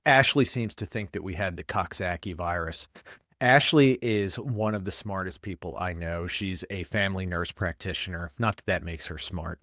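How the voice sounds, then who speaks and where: A man's voice: low-pitched, a bit bright, dry recording, studio quality. Congested, blunt man ranting. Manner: rambling, blunt, opinionated. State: congested, stuffed-up voice. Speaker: podcast host, paramedic. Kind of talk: casual solo commentary.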